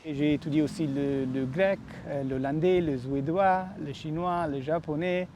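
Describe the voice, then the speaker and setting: A man from southern Italy being interviewed casually outdoors, listing things with pauses. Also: on the high side, background noise. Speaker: guest.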